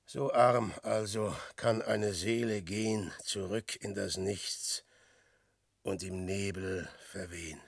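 An actor playing a despairing, boastful old man facing death, declaiming verse in a brooding, theatrical radio drama style with a gravelly, husky voice. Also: on the low side, fairly even intonation, slow pace, no echo, exaggerated voice. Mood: mournful resignation. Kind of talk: 1960s German radio drama verse acting.

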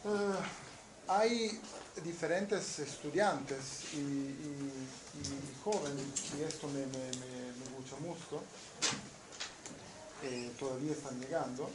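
A man from Naples with an Italian accent giving a university lecture, speaking haltingly with many restarts. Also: mid-range voice, steady tone, reverberant, noisy background. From southern Italy, Naples. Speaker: guest lecturer.

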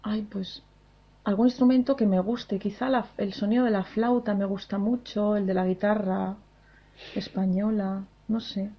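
A woman's voice: medium pitch, fairly even intonation, moderate pace. A woman in her forties, an opera singer, answering interview questions in a cheerful, chatty way and laughing often. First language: Spanish. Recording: dull lo-fi radio sound.

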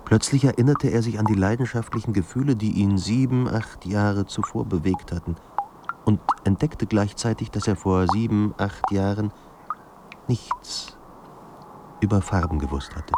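An actor playing a sombre literary narrator in a radio drama, speaking in a measured, grave manner. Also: male voice, lowish voice, background noise, lightly acted. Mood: reflective. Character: sombre literary narrator of a troubled writer's life.

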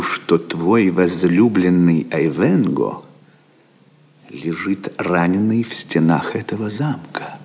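Slow, theatrical knight, reverberant. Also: male voice, sits low, full character voice. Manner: florid, mock-gallant, menacing. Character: suave, proud Norman knight, courtly wooer turned menacing. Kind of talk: theatrical audio drama acting. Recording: reverberant hall-like sound.

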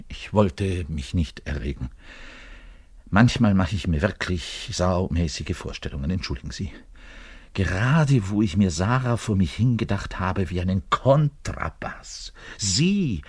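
Neurotic frustrated bassist in a radio monologue, slow and dragging, voice swoops all over, obsessive and confiding. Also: male voice, husky, dry recording, studio quality. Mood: contrite, confessional, then agitated disgust. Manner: volatile, swinging between muttered confession and loud outbursts. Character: neurotic, frustrated orchestral double bassist, self-loathing and obsessive. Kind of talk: dramatic monologue radio play, confiding to the listener.

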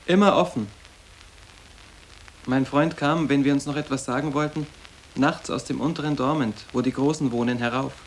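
A man as curt, creaky-voiced schoolboy. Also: medium pitch, fairly even intonation, normal speed, pauses often, a little hiss or hum, lightly acted, low-quality recording. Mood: offhand, reserved. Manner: curt, offhand. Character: sarcastic teenage boy at a boarding school. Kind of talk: radio drama dialogue.

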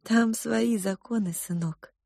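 A fretful, melodramatic royal cook voiced by a woman lets her words trail off in hushed, drawn-out sighs. The delivery is unhurried, and the sound is dry and close to the mic. It reads like a character in a storybook. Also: a little high, vocal fry, breathy edge, no room sound, pitched above the performer's own voice, heavily acted. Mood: sighing, hushed.

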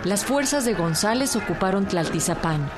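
A woman's voice: medium pitch, expressive, moderate pace. A woman with a Mexican accent giving scripted narration for a historical radio drama. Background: music.

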